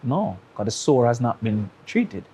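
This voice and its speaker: A man's voice: mid-range voice, very animated, measured pace, mellow, slightly rough. A man with a Jamaican accent, a talk-show guest who argues his case with analogies and rhetorical questions. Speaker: studio guest. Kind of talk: opinionated talk-show commentary.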